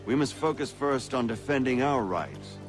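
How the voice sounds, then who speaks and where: A man plays a smooth-talking, self-assured colonial gentleman in a game drama. His mid-pitched voice moves in lively swings, polite and oratorical. Music is clearly audible behind him. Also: moderate pace, natural voice. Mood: defensive, persuasive. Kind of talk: dramatic video game voice acting.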